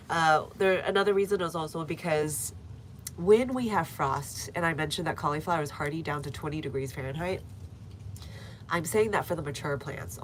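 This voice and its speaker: A woman with a low, slightly stuffy voice talks casually to her live viewers, answering comments with plenty of fillers. She is recorded outdoors in a garden, and the sound carries a noticeable noisy background. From United States. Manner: chatty, reads viewer comments aloud, frequent fillers. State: stuffy nose. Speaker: gardening livestreamer. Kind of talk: casual live-stream chat.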